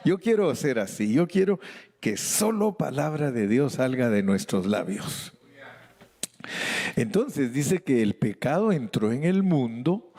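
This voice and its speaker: A man preaching a sermon in Spanish as pastor, animated with playful asides, occasionally switching into English as a non-native speaker. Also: medium pitch. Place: church sanctuary.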